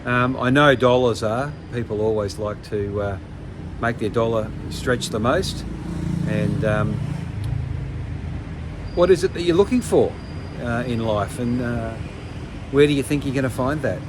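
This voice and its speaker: An older man vlogging casually to camera, pausing often with ums and restarts. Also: medium pitch, background noise. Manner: hesitant, many ums and restarts. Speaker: travel vlogger.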